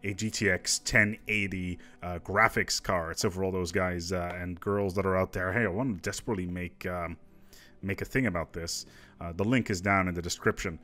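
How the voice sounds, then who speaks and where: A man's voice: deep voice, steady tone, moderate pace, pushed and bright. A man presenting casual gaming news commentary in an informal, conversational, musing manner.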